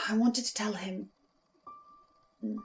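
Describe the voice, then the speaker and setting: A woman playing an anxious, wry researcher of the supernatural in a horror drama, speaking in a halting, teasing way. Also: mid-range voice, steady tone, soft background music, natural voice. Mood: hushed, resolved.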